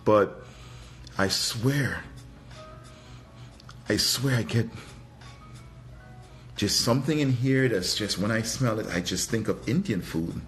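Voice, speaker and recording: A man's voice: on the low side, slow pace, long pauses, fairly bright tone, faint music behind. A man from Trinidad giving a casual live product review, thinking aloud in a hesitant, musing way and often repeating his phrases. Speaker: fragrance reviewer and livestream host.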